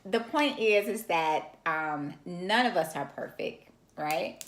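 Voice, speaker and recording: A woman's voice: on the low side, lively intonation, takes it slowly. A middle-aged woman co-hosting a casual chat with her daughter, teasing and laughing often. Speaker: co-host, mother of co-host. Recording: echoey room.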